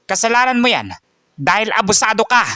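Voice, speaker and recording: A man puts on a corrupt, arrogant village chief, loud and high with bluster. He snaps and waves off blame in a fast, dismissive rush, read close and dry for a storybook. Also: animated, dry recording.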